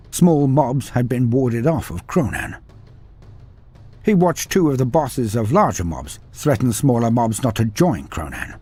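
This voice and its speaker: A man reading a scripted story aloud with dramatic, expressive delivery. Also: mid-range voice, slightly rough. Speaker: narrator.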